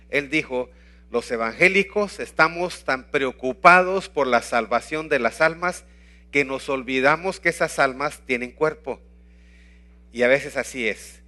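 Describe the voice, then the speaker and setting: Bright-voiced Guatemalan man teaching. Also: on the high side, normal speed. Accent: Guatemalan Spanish. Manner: earnest, emphatic preaching with rhetorical questions. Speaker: pastor and evangelism teacher. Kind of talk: teaching talk with slides.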